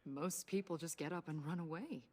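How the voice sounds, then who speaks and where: An actress playing a shrewd, probing government agent in a video game, with a husky, breathy American voice. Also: female voice, deep voice, moderate pace, a little mellow. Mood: curious, probing.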